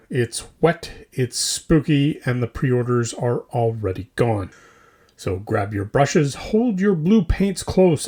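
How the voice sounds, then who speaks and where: A man's voice: on the high side, mellow. A man hosting a scripted mock news show, delivering it like a theatrical, mock-serious newscaster. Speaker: host of a hobby news show.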